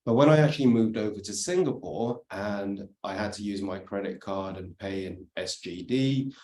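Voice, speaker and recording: A man's voice: on the low side, moderate pace, a little mellow, slight background noise. British man presenting in an echoey room. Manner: explanatory, frequent "let's say" and "for instance". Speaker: conference speaker. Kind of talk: presentation talk.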